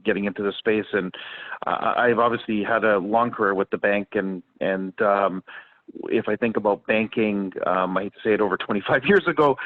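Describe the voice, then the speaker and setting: A middle-aged man with a Canadian accent speaking as a business panelist, halting between short phrases. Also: fairly low pitch, dry recording. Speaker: bank executive, webinar panelist. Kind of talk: unscripted business panel talk. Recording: dry, narrow phone-like call audio.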